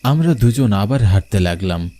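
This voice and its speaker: A man's voice: on the low side, animated, normal speed, dark tone. A man narrating a scripted horror story in the first person, in a dramatic, suspenseful manner. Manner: suspenseful, theatrical.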